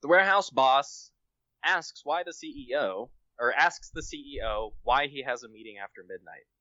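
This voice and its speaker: A man's voice: medium pitch, bright, forceful tone, no echo, dull audio. A man on a podcast reading his own comic short story aloud. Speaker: podcast guest, amateur writer.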